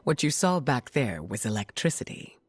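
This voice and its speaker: A woman playing a regal, gracious mutant heroine in a video game, speaking formally and with measured poise. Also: a bass voice, very animated, husky, no room sound, full character voice. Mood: warm, courteous. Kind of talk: video game cutscene acting.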